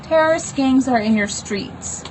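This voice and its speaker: Woman w/ highish voice reading her homemade thing out loud, unpolished, explains as she goes, noisy background. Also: measured pace. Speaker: comic book author reading own work. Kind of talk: informal read-aloud with explanatory asides.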